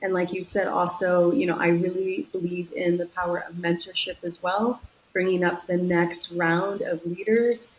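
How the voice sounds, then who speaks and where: A woman's voice: on the low side, flat delivery, normal speed, a little hiss or hum, low-quality recording. A woman from San Diego with a Californian accent, a guest executive talking at length in a business podcast interview, upbeat and enthusiastic. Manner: upbeat, talks in long enthusiastic runs.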